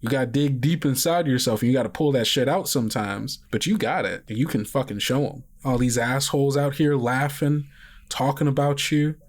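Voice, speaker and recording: A man voicing a gruff, protective, streetwise father who swears freely and goads in slang in a tabletop roleplay. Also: medium pitch, brisk, close to an everyday voice. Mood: defiant, forceful. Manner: profane, slangy, goading, emphatic.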